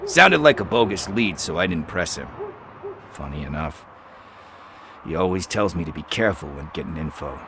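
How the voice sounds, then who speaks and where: An actor playing a chatty, evasive street hustler who talks in wheedling casual slang. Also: male voice, sits low, animated, moderate pace, background noise. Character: shifty street hustler, middle-aged man, chatty and evasive.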